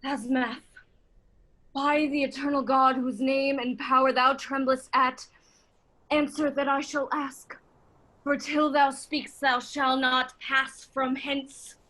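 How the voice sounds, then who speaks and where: A high, slow female voice from an actress gives us a proud noblewoman in a Shakespearean stage reading. She is ambitious and defiant, weighing each line of verse as if casting a spell. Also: little pitch movement, heavily acted, low-quality recording. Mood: commanding. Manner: deliberate, incantatory, swinging between hushed and declaimed. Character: ambitious, defiant noblewoman conjuring spirits, a duke's wife.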